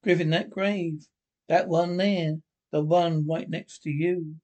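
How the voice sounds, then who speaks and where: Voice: mid-range voice, fairly even intonation, takes it slowly, a little mellow, lightly acted. A reader doing an uneasy graveyard caretaker. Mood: hesitant. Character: caretaker of an old cemetery, uneasy.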